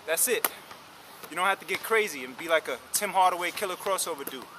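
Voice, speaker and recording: A man in his thirties on an outdoor basketball court, talking straight to the camera in an energetic, slangy, encouraging way while tired from drills. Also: high voice, fast, noisy background. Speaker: basketball vlogger. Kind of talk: casual coaching talk to camera. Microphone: camera mic close up.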